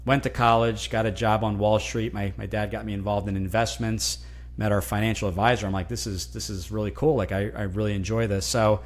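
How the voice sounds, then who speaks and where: A man from New Jersey whose first language is Italian, speaking as a guest in a casual interview, animated and often restarting words. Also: fairly low pitch, a little fast. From New Jersey, USA. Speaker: guest, franchise consultant.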